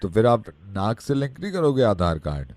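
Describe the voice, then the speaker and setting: A man playing a naive, slow-witted patient on a prank call, hesitant, earnest and deadpan. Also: on the low side, no echo.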